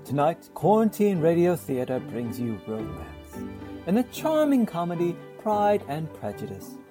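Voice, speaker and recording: A man's voice: fairly high pitch, wildly swooping pitch, a little slow. A man announcing a radio drama in a grand, dramatic 1940s style. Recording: prominent music behind the voice.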